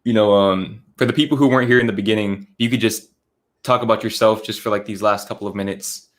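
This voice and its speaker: A man's voice: lowish voice, steady tone, quick pace. Laid-back male stream host. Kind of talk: casual live-stream conversation.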